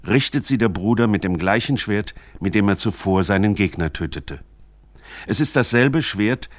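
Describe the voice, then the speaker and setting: A man with a low voice narrates a literary radio essay. His delivery is measured and formal, and the sound is close and dry, with no room around it. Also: dry recording.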